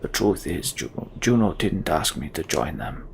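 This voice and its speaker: A man voicing a brooding, haunted giant warrior in a video game, grave, weary and subdued, with a gravelly voice. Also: low-pitched, sing-song, measured pace, background noise, voice pushed down, exaggerated voice.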